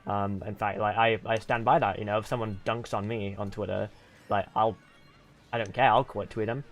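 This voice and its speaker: A man's voice: fairly low pitch, steady tone, moderate pace. A young man streaming and chatting casually with viewers, rambling with lots of "like" and restarts, venting at times. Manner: venting, exasperated. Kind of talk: casual livestream chat with viewers. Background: faint game music.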